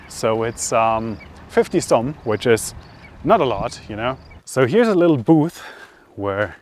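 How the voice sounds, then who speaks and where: Male travel vlog host, mid-range voice, conversational and unscripted, outdoor location sound with light background noise. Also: mid-range voice. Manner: chatty, conversational, thinking aloud. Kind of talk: casual outdoor travel vlog narration to camera. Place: outdoors by roads, lakeside and mountains.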